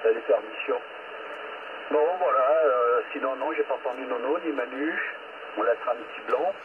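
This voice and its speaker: A man's voice: medium pitch, moderate pace. A man chatting casually as a CB operator, heard over a two-way radio. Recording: tinny radio transmission with clear static.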